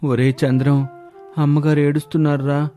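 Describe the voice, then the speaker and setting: Guy voicing a high whiny village fool, bickering like a kid, cartoon style. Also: little pitch movement, moderate pace, mellow, faint music behind, lightly acted. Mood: squabbling. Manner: childish bickering, sing-song whine. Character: two silly squabbling village simpletons, grown men. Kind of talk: cartoon voice acting.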